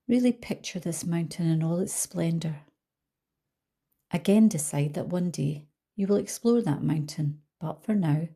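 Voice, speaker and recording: A woman leading a guided visualisation as a coach, speaking calmly and gently with soft trailing phrases. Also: on the low side, vocal fry, slightly rough. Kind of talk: guided meditation narration.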